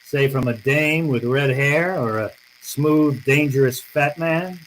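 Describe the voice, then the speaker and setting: An actor playing a wry, tough, unflappable private detective, laconic and sardonic, in old-time radio style. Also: male voice, mid-range voice, slow, lightly acted, poor audio. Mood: sardonic, shrewd. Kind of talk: old-time radio drama acting and first-person narration.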